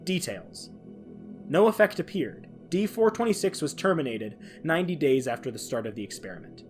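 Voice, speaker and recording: Male podcast host, fairly high voice with very animated intonation, faint music underneath. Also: moderate pace. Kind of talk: dramatic reading of a science-fiction horror log; casual podcast sign-off.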